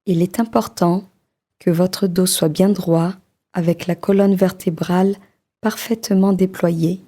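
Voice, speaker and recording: Slow, soft-spoken meditation guide woman. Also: on the low side, steady tone. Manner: slow, soft, soothing delivery with long pauses.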